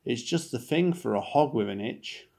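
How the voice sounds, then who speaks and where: A man's voice: medium pitch, expressive, normal speed, gravelly, a little mellow. A man voicing a blunt, grunting warthog who gives emphatic advice in a children's storybook.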